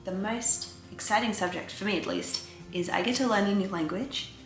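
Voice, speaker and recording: A woman's voice: medium pitch, normal speed. A woman, chatting casually to viewers as a vlogger giving an update. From Australia. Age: 20s. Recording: roomy echo, faint music behind.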